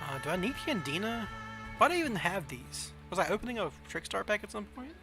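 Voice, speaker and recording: Higher-voiced Southern American man streaming. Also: sing-song, fast talker, music in the background. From southern United States. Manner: thinking aloud, self-questioning. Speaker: game streamer. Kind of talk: casual livestream chat while playing a card game.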